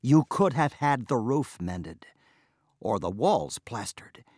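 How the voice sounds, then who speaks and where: A man's voice: fairly low pitch, big pitch swings, takes it slowly, dark tone, slightly rough, no room sound, clean studio sound, exaggerated voice. A man voicing an exasperated peasant wife who scolds her foolish husband in a storybook reading. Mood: scolding.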